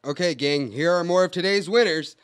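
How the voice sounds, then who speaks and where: High-voiced male radio announcer. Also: fairly quick, clean tone. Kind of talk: scripted 1940s radio contest announcing.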